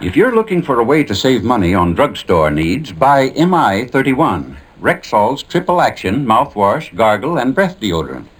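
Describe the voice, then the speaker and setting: A male announcer with a medium-pitched voice and very animated intonation. He delivers a scripted vintage radio advert in a polished, emphatic sales style. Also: normal speed, few pauses, a little hiss or hum. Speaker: commercial announcer. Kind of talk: 1950s radio advertising read.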